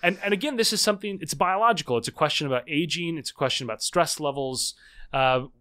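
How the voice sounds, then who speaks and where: A man in his thirties, a guest author explaining ideas in a podcast interview, animated and full of quick restarts. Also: fairly high pitch, clear, resonant tone.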